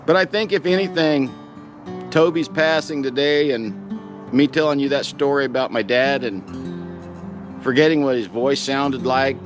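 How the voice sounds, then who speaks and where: Middle-aged male vlogger, mid-range voice, reflective and plain-spoken personal storytelling over clearly audible music. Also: medium pitch, unhurried.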